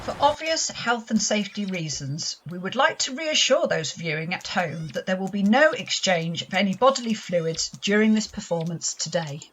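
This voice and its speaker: A woman plays a pantomime announcer with a mid-pitched, animated voice. She delivers mock-official notices in formal, measured wording, over faint background noise. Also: close to an everyday voice, rough recording. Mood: mock-serious.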